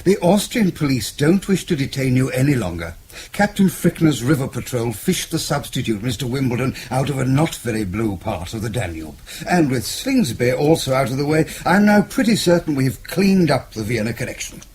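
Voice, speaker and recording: An actor playing a suave, calculating British intelligence chief, speaking in an urbane, formal way in a radio drama. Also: male voice, medium pitch, sing-song, darkish tone, natural voice. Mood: affable, smooth. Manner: urbane, measured, formal explaining.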